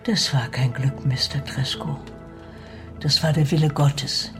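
An actor playing a sorrowful, devout searcher for lost family, speaking in a solemn, measured way in a German radio play. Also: medium pitch, fairly even intonation, lightly acted. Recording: music bed under the voice.

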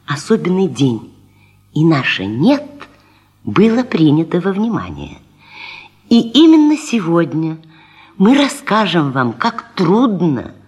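A woman presenter with a husky voice, delivering a humorous, theatrical radio monologue with ironic, rhetorical emphasis. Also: medium pitch. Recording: 1970s radio recording, faint music.

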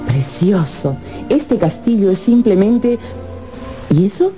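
Dark-toned, elegant mother. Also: mid-range voice, sing-song, slow, soft background music. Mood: fussy, alarmed. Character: elegant, fussy, imperious mother of the family. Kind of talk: radio drama acting.

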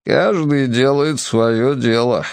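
A man voicing a heavy old man with a hoarse, strained voice who speaks slowly and calmly. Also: medium pitch, no echo. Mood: dry calm. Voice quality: wheezy, strained. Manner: slow, unhurried.

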